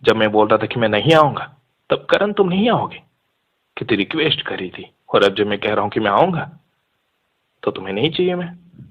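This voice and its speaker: A young man with a fairly low, husky voice talks casually over a narrow, muffled phone line. He is insistent and argumentative, slipping English words into his sentences. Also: very animated, a little fast, pauses often. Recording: muffled phone call audio.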